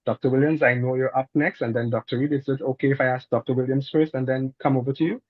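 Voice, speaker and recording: A man's voice: mid-range voice, fairly even intonation, fast, dry recording. A man with a Caribbean accent moderating a discussion in a careful, formal, wordy way over muffled online call audio. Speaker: panel moderator.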